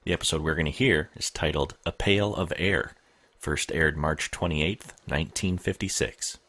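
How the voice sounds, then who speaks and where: A man's voice: extremely low pitch, moderate pace, fairly bright tone. A man introducing a podcast episode as its host from a script.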